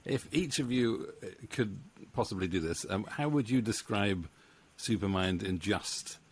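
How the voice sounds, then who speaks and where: A man's voice: lowish voice, unhurried, a little hiss or hum. A middle-aged man hosting a casual interview, hesitant and rambling, speaking close to a microphone at home. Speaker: interview host and co-writer. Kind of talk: casual video-call interview. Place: book-lined home room. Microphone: studio microphone on boom arm, close.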